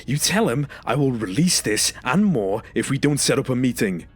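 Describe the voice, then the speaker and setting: A man's voice: mid-range voice, sing-song, normal speed, husky, faint music behind. A man playing a traumatised, confrontational former stasis patient in an audio drama, speaking emphatically and demanding accountability. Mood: firm, threatening.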